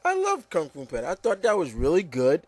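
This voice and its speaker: A man's voice: on the high side, wildly swooping pitch, brisk, husky, no echo. A man hosting a casual, opinionated discussion, speaking emphatically and repeating phrases to drive points home. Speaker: podcast host.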